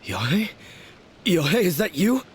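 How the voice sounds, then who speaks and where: A man's voice: high voice, big pitch swings, measured pace, a little hiss or hum. An actor voicing a timid young swordsman, bewildered and shaky.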